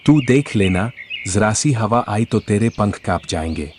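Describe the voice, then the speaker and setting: Taunting male crow for a cartoon, played by a man; low, dismissive, slight outdoor ambience. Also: lively intonation, a little slow, background noise. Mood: scornful. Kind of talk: Hindi cartoon story dialogue.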